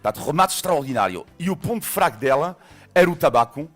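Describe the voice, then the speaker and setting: A middle-aged man from France with a French accent, telling a story as a radio columnist in a lively, laughing way with hesitations. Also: a little high, fast talker, soft background music. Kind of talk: morning radio segment, storytelling.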